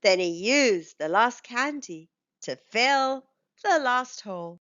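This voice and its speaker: A woman tells a rhyming children's tale in a high, very lively voice. She is expressive and takes her time over each line. The recording sounds slightly dull and lo-fi. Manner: expressive, deliberate. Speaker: storyteller reading aloud for children. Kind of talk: rhyming picture book narration.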